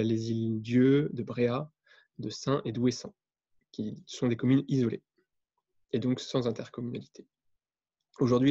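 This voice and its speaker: A man, giving an explanatory webinar talk in a halting, self-correcting way. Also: mid-range voice, warm tone, no room sound. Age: 30s. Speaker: guest speaker on intercommunal government. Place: indoor room, on a video call. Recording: dull, lo-fi video-call audio.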